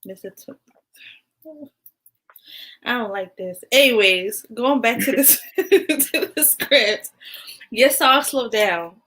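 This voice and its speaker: A woman's voice: fairly high pitch, expressive, takes it slowly, pauses often, a bit bright. A woman in her twenties hosting a podcast, asking questions in casual, playful banter with some teasing. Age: 20s. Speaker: podcast host. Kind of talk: casual interview chat.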